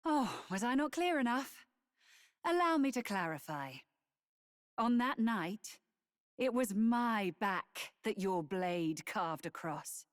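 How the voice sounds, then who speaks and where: A woman playing a serene, cold church heiress in a fantasy game, with a measured, lofty, theatrical delivery. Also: fairly high pitch, expressive, no echo, clean studio sound. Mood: coolly menacing. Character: serene, cold church heiress, a self-appointed saintly villainess. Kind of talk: dramatic fantasy game acting.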